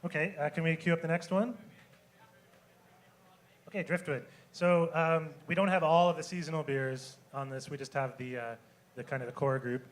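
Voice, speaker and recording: A man's voice: fairly high pitch, fairly even intonation, moderate pace. A man moderating a panel at an event, asking his questions hesitantly with plenty of fillers. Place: event room with an audience.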